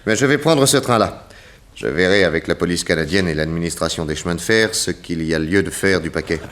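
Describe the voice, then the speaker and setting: Deep-voiced, courteous detective. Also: male voice, a bit bright. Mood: authoritative. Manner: measured, polite, authoritative. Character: shrewd, composed, courteous male private detective. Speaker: actor in a radio crime drama. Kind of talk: 1960s French radio drama acting.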